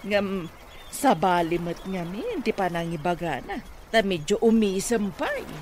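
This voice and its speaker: A plotting mother in a radio comedy-drama. Her mid-pitched delivery swings widely and expressively. The recording has clear noise behind the voice. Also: sing-song, a little slow.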